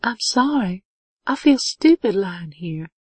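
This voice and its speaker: A woman's voice: medium pitch, animated, takes it slowly, darkish tone, slightly rough, no echo. A woman voicing an insecure, emotional young woman, a naive heroine in a romance audiobook. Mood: embarrassed, vulnerable. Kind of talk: audiobook character dialogue.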